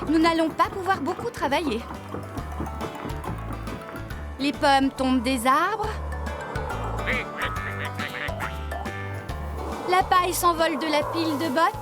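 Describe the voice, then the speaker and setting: A woman playing a caring, sensible farmer in charge, expressive and motherly, in a children's cartoon. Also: very high voice, fairly quick, over loud music. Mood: concerned. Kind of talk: children's cartoon dub acting.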